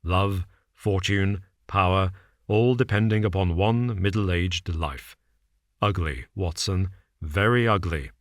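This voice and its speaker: A man reads a calm, shrewd detective, voice dropped a little below his own low register. He lays out facts slowly and deliberately, with a dry, understated edge. The recording is close and dry. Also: dry recording. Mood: measured. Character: cool, shrewd male detective, measured and dry.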